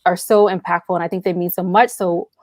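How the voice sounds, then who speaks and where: A woman's voice: lowish voice, steady tone, quick pace, a little mellow, no room sound, clean studio sound. An American woman speaking casually and emphatically as an interview guest, with an enthusiastic manner. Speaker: podcast guest, audio drama writer and producer.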